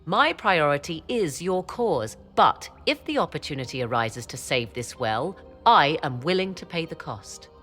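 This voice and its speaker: Proud, guarded fantasy-game sorceress. Deep register, very expressive melody, stately formal diction, music underneath. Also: female voice, exaggerated voice. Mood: cool, resolute. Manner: formal, measured, archaic phrasing, haughty. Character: proud, guarded sorceress mother, driven by secret ambition. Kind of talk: dramatic scripted game dialogue.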